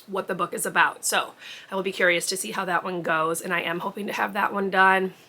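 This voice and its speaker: A woman's voice: mid-range voice, fairly even intonation, brisk, pushed and bright. A woman book vlogger chatting casually to camera, rambling with lots of ums and likes.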